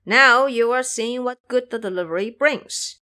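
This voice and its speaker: A woman's voice: fairly high pitch, a little slow, bright, forceful tone. Woman voicing a cocky, foolish pig monster. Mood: smug, excited. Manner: cocky, chatty. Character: boastful, greedy, foolish pig-monster disciple.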